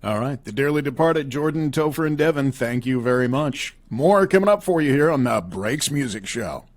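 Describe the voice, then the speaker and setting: A man with a mid-pitched voice works as a music show host. He presents and interviews in a practiced broadcast style, moving between announcements and questions. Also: animated, normal speed.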